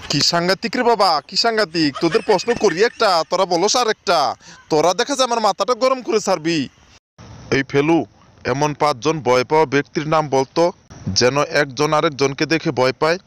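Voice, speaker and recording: A man plays a fussy cartoon schoolmaster with a fairly high male voice. He lectures and scolds his pupils, always on the edge of exasperation. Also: animated, normal speed, fairly bright tone. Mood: exasperated. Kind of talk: comedy cartoon dialogue.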